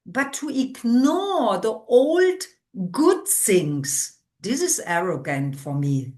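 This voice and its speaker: A middle-aged woman with a loud voice, giving an interview as a senior instructor and sometimes searching for words in English. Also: on the high side, very animated, slow. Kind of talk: conversational interview.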